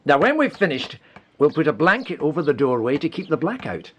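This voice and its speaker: Hearty Scottish village doctor, swooping intonation. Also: male voice, on the high side, very animated, brisk, noisy background. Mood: hearty, encouraging. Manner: hearty, avuncular, exclaiming. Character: kindly, brisk village doctor in wartime 1940s. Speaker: actor in a radio play. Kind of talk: radio play acting.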